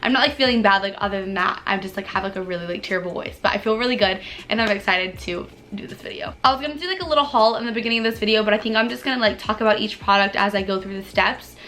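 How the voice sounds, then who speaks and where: A young woman vlogger chatting bubbly and casually through a makeup tutorial, her voice a little hoarse from allergies, with lots of "like" fillers. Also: mid-range voice, very fast, few pauses, sharp tone. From United States. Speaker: beauty vlogger.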